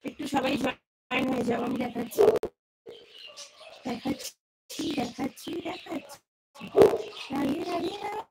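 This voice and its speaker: A woman's voice: high-pitched, expressive, measured pace, pauses often. A woman hosting a casual devotional livestream, repeating greetings and questions to her viewers. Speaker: livestream host. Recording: clearly noisy livestream audio with clicks.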